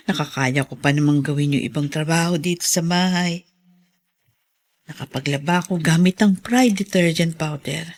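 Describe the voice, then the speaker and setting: An actress playing an upright, long-suffering middle-aged mother with failing eyesight, emotive and emphatic in a radio drama style. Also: sits low. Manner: emotive, emphatic, moralizing delivery. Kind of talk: Filipino radio drama acting.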